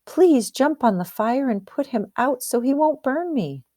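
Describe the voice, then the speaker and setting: A woman's voice: sits high, lively intonation, unhurried, mellow, dry recording, studio quality, pitched above the performer's own voice. A woman voicing a small male rooster in a storybook, imploring and desperate for help with exclamatory cries. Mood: urgent pleading.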